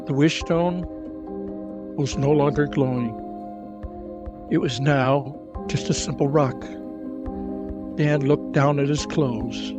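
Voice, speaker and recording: Guy narrating a fantasy story, dramatic, slightly high voice, music behind him. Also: steady tone, slow pace, pauses often.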